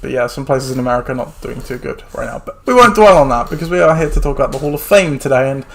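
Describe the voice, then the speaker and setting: A man's voice: mid-range voice, expressive, fast talker, gravelly. A man hosting a casual sports podcast, chatty and rambling with lots of fillers, his voice a little worn as he says he is losing it. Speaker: podcast host.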